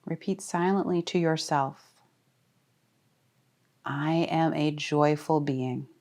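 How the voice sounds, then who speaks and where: A woman leading a guided relaxation as a yoga teacher, speaking calmly and soothingly with deliberate pauses. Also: low voice. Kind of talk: guided meditation.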